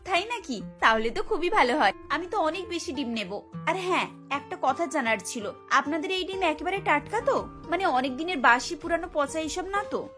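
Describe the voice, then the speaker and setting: A woman's voice: high-pitched, expressive, moderate pace, music in the background. A woman voicing an earnest young housewife in a Bengali cartoon. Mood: hopeful, excited. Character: worried, earnest young daughter-in-law. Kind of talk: cartoon voice acting.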